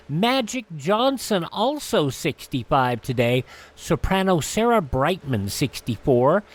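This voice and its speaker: A man hosting a radio show, reading through an almanac in an announcer-like way with casual asides. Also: sits high. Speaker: radio host.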